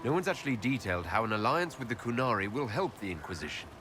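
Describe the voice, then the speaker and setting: Man playing quick, animated male elf hero. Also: medium pitch, natural voice. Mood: direct, composed. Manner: inquisitive, polite, direct. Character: male elf leader, inquisitive, diplomatic hero. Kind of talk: video game cutscene acting. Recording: game capture with loud ambient background noise.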